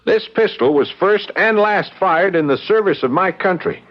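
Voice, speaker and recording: An actor playing a hot-headed, earnest young war veteran in a radio mystery, emphatic and apt to stammer. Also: male voice, sits high, lively intonation, normal speed. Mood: proud, defiant. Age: young adult. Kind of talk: old-time radio mystery acting. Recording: dull lo-fi radio drama recording.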